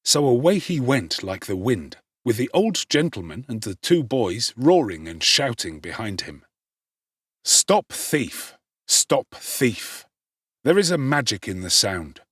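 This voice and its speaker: A man reads literary narration with a slightly husky, textured voice. His pitch moves widely and expressively, and his phrasing is theatrical and emphatic. The sound is dry and close. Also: big pitch swings, moderate pace, hardly any pauses, fairly bright tone, no room sound. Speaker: audiobook narrator.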